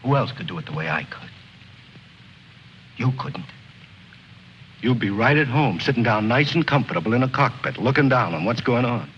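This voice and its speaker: A man's voice: mid-range voice, expressive, moderate pace, long pauses, fairly bright tone, lightly acted. An actor playing a bitter, restless soldier in his thirties, brooding and sardonic, in an old film drama. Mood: bitter, driven. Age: 30s. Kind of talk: mid-century American film drama acting. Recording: muffled, hissy old film soundtrack.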